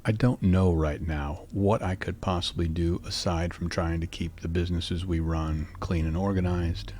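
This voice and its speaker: A man in his fifties from North Carolina, hosting a rambling solo monologue in a sleepy, calm and even voice. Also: a little hiss or hum. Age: 50s. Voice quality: low, sleepy voice. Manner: calm, musing, even delivery. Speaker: podcast host.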